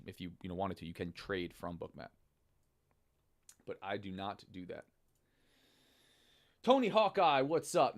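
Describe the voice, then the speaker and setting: Livestream host, male, low hoarse rasp like a smoker's, informal and buddy-like, recorded close and dry. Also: no room sound. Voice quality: raspy smoker's voice, partly lost. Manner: informal, buddy-like address to viewers. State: hoarse, voice half gone. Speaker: host of a live trading stream. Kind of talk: casual livestream chat, explaining to viewers.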